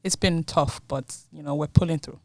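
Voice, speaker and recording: Young woman from Nigeria, soft-spoken, laughs between phrases, dry close sound. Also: sits low, fairly even intonation, normal speed, no room sound. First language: Yoruba. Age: 20s. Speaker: guest, university student. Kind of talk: casual interview.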